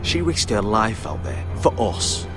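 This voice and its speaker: An actor playing a bold, loyal exiled young fighter in a video game drama, speaking in a direct, plain way. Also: male voice, on the low side, very animated, unhurried, music in the background. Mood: earnest, firm.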